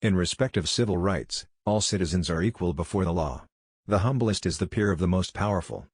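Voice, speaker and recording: A man narrating scripted quotations in a measured, formal manner. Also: low voice, animated, breathy edge, no room sound, clean studio sound. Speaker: quote narrator.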